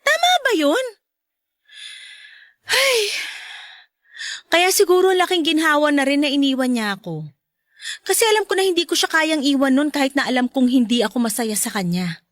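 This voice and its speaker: A woman's voice: high-pitched, lively intonation, moderate pace, pauses often, a bit bright, no echo, clean studio sound. A woman playing an emotional, whiny girlfriend and mother in an audio love-story drama, with a Filipino accent and dramatic delivery. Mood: hurt, venting. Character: emotional girlfriend, a mother, venting about her ex.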